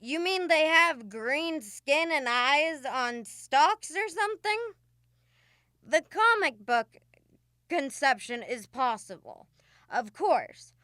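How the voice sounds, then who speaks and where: A woman plays a curious ship's scientist wondering aloud in an old-style radio drama. Her speech is slow and musing, with a bright, smooth tone, recorded dry. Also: sits high, lively intonation, no room sound, full character voice. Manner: musing, speculative.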